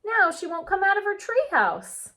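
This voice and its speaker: A woman reading a picture book aloud like a teacher, with animated, drawn-out delivery and chatty asides. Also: very high voice. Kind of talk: expressive children's read-aloud with chatty asides.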